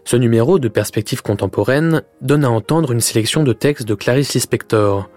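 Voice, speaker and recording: A man giving a measured, literary scripted introduction as a radio presenter. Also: medium pitch, a little mellow, faint music behind.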